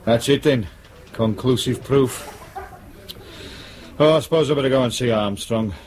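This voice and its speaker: An actor playing a dogged, weary police detective in a radio drama, speaking in a blunt, sardonic, grumbling way. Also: male voice, mid-range voice, a little slow, darkish tone, close to an everyday voice. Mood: resigned.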